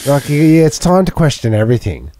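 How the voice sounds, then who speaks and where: Aussie guy rambling on a podcast, bouncy pitch, mellow tone, dry close mic. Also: fast. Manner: animated, chatty, jokey, rambling. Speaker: podcast co-host. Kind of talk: casual chat.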